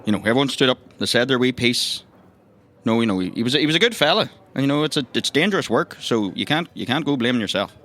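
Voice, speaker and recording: A man plays a sympathetic colleague in a tabletop game. He talks quickly in a bright, forceful voice at middling pitch. His manner is reassuring and plain-spoken. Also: lively intonation, close to an everyday voice. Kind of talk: improvised tabletop roleplay.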